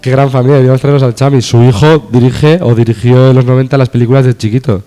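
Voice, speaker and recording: Dry-witted young man, mellow voice. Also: medium pitch, level tone, fast. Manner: dry, ironic wisecracks. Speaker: radio co-host. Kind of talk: casual chat.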